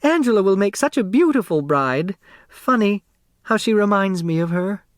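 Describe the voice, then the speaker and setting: A man's voice: high voice, animated, normal speed, no echo, clean studio sound, pitched above the performer's own voice. A man voicing a small, timid older woman, a chatty dressmaker eager to please, in an audiobook. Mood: wistful, chatty.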